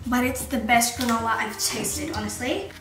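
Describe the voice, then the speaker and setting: A woman's voice: a little high, normal speed. A young woman in her twenties chatting candidly to a handheld camera in a casual vlog, with asides and self-corrections. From Australia. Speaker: vlogger. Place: home and around the city, indoors and out. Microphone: handheld camera close to the face.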